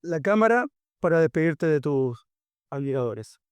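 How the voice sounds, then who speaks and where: A man's voice: fairly high pitch, animated, normal speed, smooth, no echo. A man hosting an interview. He asks questions and closes the interview with formal thanks. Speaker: interviewer, host. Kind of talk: casual interview.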